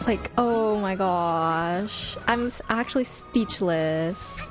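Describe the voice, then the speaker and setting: A woman streamer chatting casually with her viewers, commenting in an expressive, gushing way and thinking her choices through out loud. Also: mid-range voice, takes it slowly, over music. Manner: expressive, gushing, deliberating aloud. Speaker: game streamer and host.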